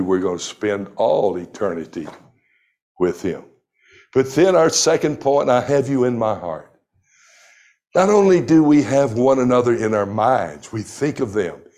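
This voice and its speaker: Slow-preaching man in his sixties. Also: mid-range voice, a little mellow. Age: 60s. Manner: slow, deliberate preaching with emphatic scripture reading. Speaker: pastor. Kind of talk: sermon.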